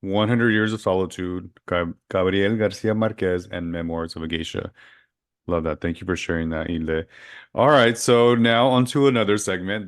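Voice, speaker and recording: A man's voice: low voice, no room sound, studio quality. A man hosting a podcast interview, upbeat and chatty, asking questions and affirming his guest. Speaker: podcast host. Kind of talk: casual interview chat.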